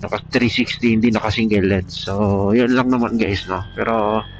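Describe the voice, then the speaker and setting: A man's voice: lowish voice, fairly even intonation, normal speed. Congested Filipino man on a dull intercom mic. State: congested with a cold. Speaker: motovlogger reviewing gear. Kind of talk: casual vlog chat. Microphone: helmet Bluetooth intercom. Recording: dull, noisy Bluetooth intercom mic, vehicle sound.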